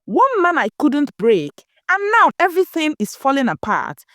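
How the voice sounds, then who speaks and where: A woman's voice: high voice, wildly swooping pitch, normal speed, a bit bright, no room sound, clean studio sound, voice pushed up, heavily acted. A woman storyteller voicing an anguished young woman who whimpers and pleads in a folktale. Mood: anguished, whimpering.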